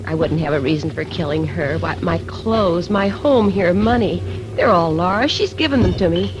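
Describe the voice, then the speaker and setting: A woman's voice: on the low side, moderate pace, music in the background, close to an everyday voice, poor audio. Actress as a needy, unraveling woman in vintage radio drama. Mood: coy, wistful. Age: middle-aged. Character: vain, needy thirty-eight-year-old woman murder suspect unraveling. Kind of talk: 1950s radio drama acting. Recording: vintage 1950s radio recording.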